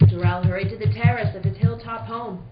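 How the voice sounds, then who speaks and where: Casting: female actor as dramatic old-time serial narrator, medium pitch, earnest, music underneath. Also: steady tone, a little fast, close to an everyday voice. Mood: solemn, dramatic. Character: earnest narrator of a 1940s superhero radio serial. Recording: roomy, slightly muffled.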